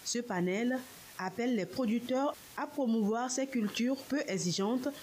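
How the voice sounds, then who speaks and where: A woman's voice: a little high, moderate pace, a little mellow. Woman reporter over hiss. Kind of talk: scripted news narration.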